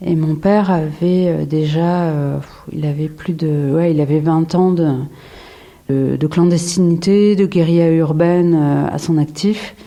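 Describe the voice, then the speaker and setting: A woman in her forties from France talks about her life and work in an unhurried interview. Her voice sits slightly low and sounds warm and mellow. She hesitates often, restarting phrases and filling gaps as she thinks aloud. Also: fairly even intonation. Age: 40s. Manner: hesitant, many fillers and restarts. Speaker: photographer, interview guest. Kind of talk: reflective interview answers.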